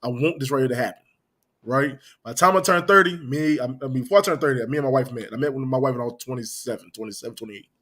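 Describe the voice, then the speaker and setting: Male podcast host, thirties, quick and loose, leans on "man" and "you know what I'm saying". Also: medium pitch, fairly bright tone. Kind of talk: casual podcast chat.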